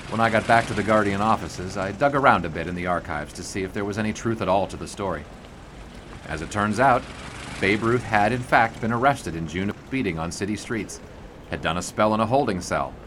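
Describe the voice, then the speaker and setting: Deep-voiced, wry 1920s sports writer. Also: male voice, fairly bright tone, slight background noise. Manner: wry, musing. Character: reflective 1920s male sports writer narrating his story. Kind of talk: audio drama first-person narration.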